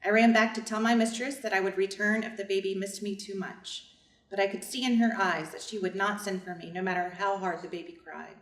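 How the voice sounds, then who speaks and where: A woman playing a loyal household nursemaid who narrates a reader's theater story in an expressive storytelling manner. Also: mid-range voice, brisk, lightly acted. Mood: sorrowful, solemn. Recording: echoey room, distant mic.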